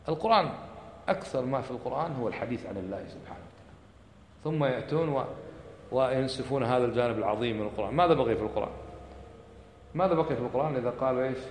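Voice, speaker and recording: Fast-talking man lecturing in an echoey hall. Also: slight background noise. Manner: impassioned, rhetorical questions, sudden drops to a low voice. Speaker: religious teacher.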